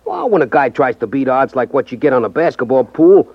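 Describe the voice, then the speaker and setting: An actor playing a naive, know-it-all small-time hustler in a 1950s radio drama, talking in streetwise, ungrammatical slang. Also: male voice, medium pitch, very animated, a little fast, no room sound. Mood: warning. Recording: dull vintage 1950s radio recording.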